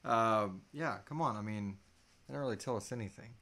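A man's voice: fairly low pitch, fairly quick, pushed and bright. Casual, playful man hosting a livestream. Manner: jokey, playful. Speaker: host of a live Q&A show. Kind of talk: casual off-the-cuff livestream chat. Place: small room at home, indoors.

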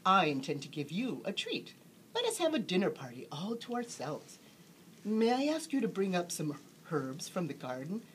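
A woman voicing a sly, courtly gentleman fox with sandy whiskers, reading from a storybook with genteel, emphatic politeness. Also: lowish voice, slight background noise.

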